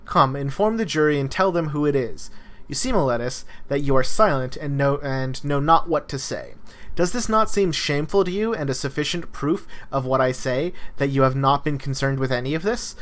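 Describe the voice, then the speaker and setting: A man's voice: on the high side, normal speed, fairly bright tone, natural voice. A man playing an indignant philosopher cross-examining his accuser with pointed rhetorical questions, reading a dialogue aloud. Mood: pointed, questioning.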